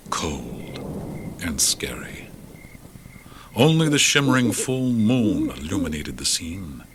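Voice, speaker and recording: Ominous haunted-house narrator: very deep, hushed, unhurried, theatrical, on hissy old cassette. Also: male voice, exaggerated voice. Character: ominous male storyteller of a haunted house tale. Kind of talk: spooky dramatic horror story narration.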